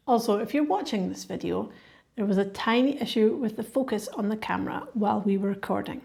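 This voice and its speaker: A woman's voice: mid-range voice, gravelly, mellow. A woman hosting a podcast, introducing the episode and interviewing her guest with frequent listening sounds. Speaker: podcast host.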